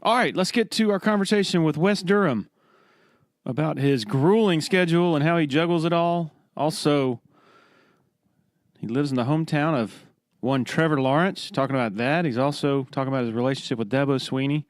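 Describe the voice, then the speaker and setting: A man's voice: on the high side, moderate pace, dry recording, studio quality. A man hosting a podcast, speaking casually and informally. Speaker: podcast host, interviewer. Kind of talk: casual podcast talk and interviewing.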